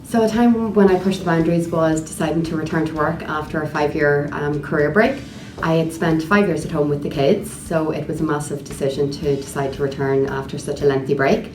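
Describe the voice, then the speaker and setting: A woman's voice: sits low, fairly even intonation, a little fast, hardly any pauses, darkish tone, soft background music. A woman who is an associate director, speaking in a composed way with filler ums in an interview. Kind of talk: personal story in an interview. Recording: roomy room with background noise.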